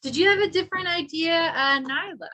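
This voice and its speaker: A woman's voice: very high-pitched, brisk, bright, forceful tone. A woman teaching an adult English class, patient and encouraging, who models her words carefully for her learners.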